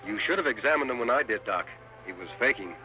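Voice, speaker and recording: An American actor playing a steady, commanding masked cowboy hero in an old-time radio western. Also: male voice, medium pitch, fast talker, poor audio. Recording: old radio broadcast with music behind.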